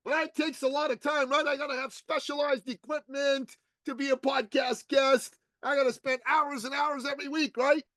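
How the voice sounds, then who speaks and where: A man's voice: extremely high pitch, little pitch movement, moderate pace, hardly any pauses, fairly bright tone, dry recording, studio quality, voice pushed up. A man voicing a skeptical male listener who protests and raises objections in an incredulous, whiny way. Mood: protesting.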